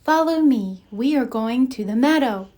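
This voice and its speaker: A woman voicing a gentle, protective mother deer in a storybook, with a caring, instructive manner. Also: fairly high pitch, animated, normal speed.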